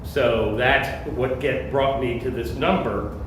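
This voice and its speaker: A man, a church senior warden, answering parish questions in a halting, conversational way. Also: medium pitch, fairly even intonation. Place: church meeting room. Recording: echoey room, distant mic, noise.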